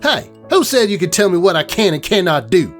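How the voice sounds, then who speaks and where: Man doing a feisty farm mare, raised pitch. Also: very animated, a little fast, fairly bright tone, faint music behind. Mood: angry. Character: feisty protective farm mare, quick to scold.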